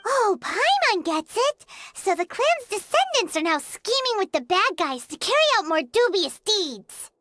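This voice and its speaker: A woman voicing a small, excitable, childlike fairy companion in a video game, exclaiming eagerly. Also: extremely high pitch, measured pace, hardly any pauses, exaggerated voice. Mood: curious, excited. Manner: exclamatory, speaks of herself in third person. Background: background music.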